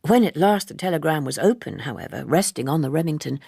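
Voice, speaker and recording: A woman reading literary narration in a measured, expressive storytelling manner. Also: sits low, vocal fry, slightly rough, no room sound, clean studio sound. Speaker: audiobook narrator.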